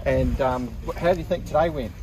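A middle-aged Australian man casually narrating a four-wheel-drive club trip to his phone camera outdoors, relaxed with lots of ums and dry humour. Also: medium pitch, brisk, far from the mic, low-quality recording. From Western Australia. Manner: laid-back, many ums, dry humour. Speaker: club member filming a trip vlog. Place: outdoors at a rural campsite.

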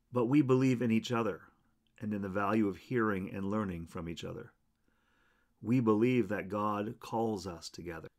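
Man, church minister, fairly low voice, scripted welcome, deliberate phrasing. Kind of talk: scripted welcome and statement of beliefs.

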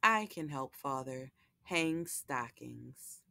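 A woman's voice: a bass voice, lively intonation, slow pace, creaky, sharp tone, exaggerated voice. A woman voicing an eager little animal girl in a storybook, earnest and keen to help.

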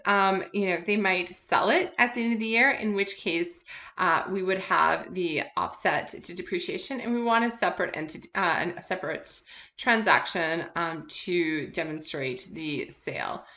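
A woman's voice: medium pitch, pushed and bright. A woman instructor giving an informal recorded lecture, working through examples in a conversational, explanatory way and answering her own questions. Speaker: accounting instructor.

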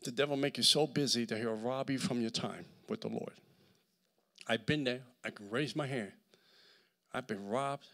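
A middle-aged man with a Puerto Rican accent preaching a sermon with a handheld mic in a large church hall, swinging between shouts and near-whispers. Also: medium pitch, moderate pace. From Puerto Rico. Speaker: guest preacher.

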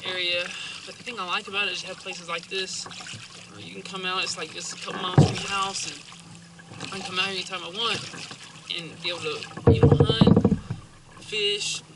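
A man's voice: high voice, heavy background noise. A young man talking casually on a kayak outing, rambling with restarts and fillers, filmed outdoors on the water by a camera mounted on the boat. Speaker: kayaking vlogger. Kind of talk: casual outdoor vlog talk. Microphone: action camera mounted on the kayak.

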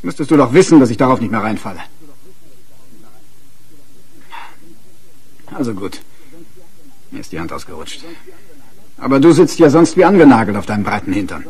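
A man's voice: medium pitch, big pitch swings, fast, a little mellow, breathy edge, rough recording. An actor playing a domineering, abusive husband in a German radio crime drama, sarcastic and hectoring as he talks. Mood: scornful, menacing. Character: domineering, sneering, abusive husband who bullies his wife.

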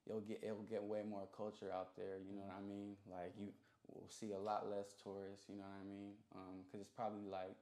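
A man's voice: lowish voice, monotone, a little fast, warm tone. A young man chatting casually as an interview guest, in slangy, conversational talk with frequent check-in phrases. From Hawaii. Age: young adult. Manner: slangy, peppered with "you know what I mean". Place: small recording studio room. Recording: roomy, echoing sound.

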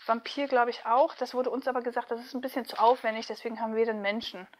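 A woman with a fairly high voice talks casually in an interview. She comes across as chatty and animated, explaining things in a loose, conversational way. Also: fast talker. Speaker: voice actress, interview guest.

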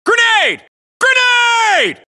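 An actor playing a tough American Marine in a military video game, calling out short, clipped, urgent battle chatter. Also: male voice, extremely high pitch, wildly swooping pitch, very slow, full character voice. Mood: tense, commanding. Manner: clipped, urgent, shouted radio-style callouts. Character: young tough US Marine barking combat callouts.

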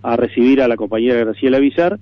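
A man's voice: medium pitch, fast talker, no echo. Uruguayan man on tinny narrowband radio. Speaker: political interviewee. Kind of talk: radio interview, explanatory.

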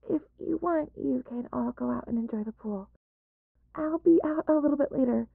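A woman performs a sweet, insecure young mage girlfriend in an audio drama. Her creaky voice is timid and apologetic, stumbling shyly over words in a dry recording. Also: sits high, moderate pace, dry recording, narrow, tinny audio, exaggerated voice. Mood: flustered. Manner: hesitant, timid, stammering. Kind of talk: scripted audio roleplay.

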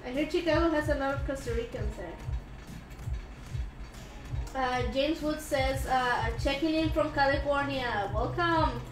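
A young woman chatting casually as a live stream co-host, giggly and a little halting as she reads comments aloud. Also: high-pitched. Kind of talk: casual chat. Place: indoor room, live stream. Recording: roomy sound, loud music behind. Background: music.

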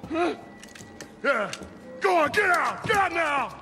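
An actor playing a hostile male wasteland guard who shouts and barks orders in a video game. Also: very high voice, very animated, slow pace, soft background music, heavily acted. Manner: shouting, abusive, barking orders.

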